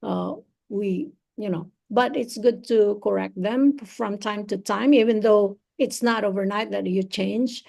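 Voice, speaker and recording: A woman tutoring English over a video call, talking slowly and encouragingly with many fillers. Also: medium pitch, gravelly, darkish tone. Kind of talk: encouraging online speaking practice.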